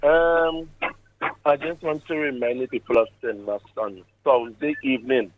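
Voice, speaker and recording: A man's voice: medium pitch, fairly even intonation, measured pace, background noise. A man with a Grenadian accent calling in by phone to make an announcement to listeners. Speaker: caller. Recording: thin narrowband phone line.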